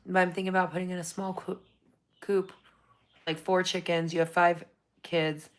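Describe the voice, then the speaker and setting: A woman hosting a casual livestream from her phone, reading viewer comments, her voice going raspy from talking so long. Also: lowish voice, moderate pace. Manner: chatty, excited when thanking viewers for gifts. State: losing her voice, getting raspy. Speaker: livestream host.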